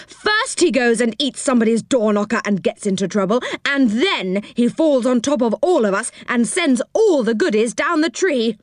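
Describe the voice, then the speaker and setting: A woman's voice: a little high, expressive, moderate pace, few pauses, dry recording, studio quality, voice pushed up, lightly acted. A woman playing a plaintive, grumbling male character from a children's storybook. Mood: gloomy. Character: gloomy, grumbling male storybook tree-dweller, feigning innocence.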